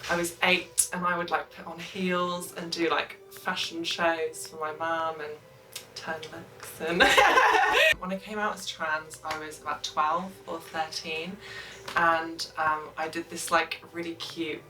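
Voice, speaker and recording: A woman's voice: low voice, slow pace. A woman in her twenties telling a story chattily and animatedly in a documentary interview. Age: 20s. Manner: chatty, animated, lots of likes. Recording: roomy, distant mic, music under.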